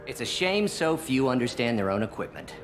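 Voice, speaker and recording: A man playing an eager, chatty, deferential software shopkeeper in a video game, polite and enthusiastic. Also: medium pitch, very animated, a little fast, soft background music, close to an everyday voice. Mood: eager, impressed.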